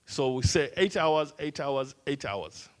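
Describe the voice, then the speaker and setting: A Kenyan trainer whose first language is Swahili, speaking English at a mid-pitched level for a man. He runs the session by throwing questions at the room and teasing the participants. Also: lively intonation, measured pace, clean tone. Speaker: workshop trainer.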